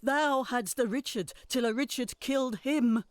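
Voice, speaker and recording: An actress voicing a bitter older queen, bent on revenge. She talks slowly, hissing and whispering, then breaks into fierce curses. The sound is close and dry. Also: a little high, dry recording, natural voice. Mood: cursing. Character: old deposed queen, bitter and vengeful. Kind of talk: Shakespearean audio drama acting.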